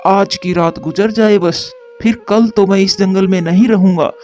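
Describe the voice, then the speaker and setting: A man's voice: high-pitched, fairly even intonation, moderate pace, a little mellow, faint music behind, natural voice. A man voicing a fretful, complaining forest bird in a children's cartoon, with dramatic lamenting, in a muffled lo-fi dub. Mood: suffering, anxious. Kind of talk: Hindi children's cartoon dubbing.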